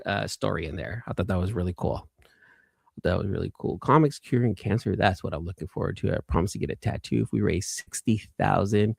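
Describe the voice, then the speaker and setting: A man's voice: medium pitch, vocal fry, no echo, studio quality. A man hosting a casual livestream, chatting informally and enthusiastically with viewers and often repeating phrases for emphasis. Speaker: livestream host.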